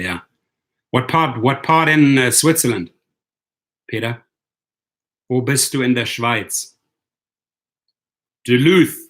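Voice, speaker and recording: A man hosting a casual livestream, upbeat, greeting viewers and repeating his phrases. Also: lowish voice. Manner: upbeat, repeats phrases, gives shout-outs. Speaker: livestream host.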